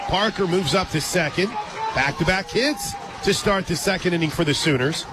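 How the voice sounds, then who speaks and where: A man doing live radio play-by-play of a softball game, mixing calls of the action with casual, conversational chat. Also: sits high, normal speed, background noise. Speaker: radio play-by-play sports announcer. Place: outdoor ballpark radio booth. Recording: loud crowd and music behind broadcast. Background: loud stadium crowd and music.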